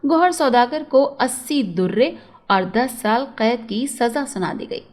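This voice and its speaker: A woman's voice: fairly high pitch, lively intonation, a little slow, darkish tone. A woman storyteller narrating a scripted moral tale.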